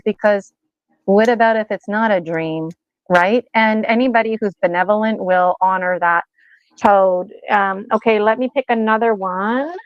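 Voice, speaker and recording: A woman's voice: medium pitch, dry recording, lo-fi sound. A woman in her forties giving a casual oracle card reading, chatty and rambling, laughing often and acting out little voices. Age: 40s.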